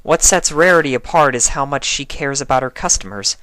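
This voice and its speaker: A man's voice: medium pitch, lively intonation, brisk, bright, forceful tone, dry recording, voice pushed up. An earnest young mare pony from a storybook, voiced by a man, chatting politely and eagerly. The voice is lifted above the reader's own and recorded very dry, with an explaining, helpful manner. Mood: cheerful, informative.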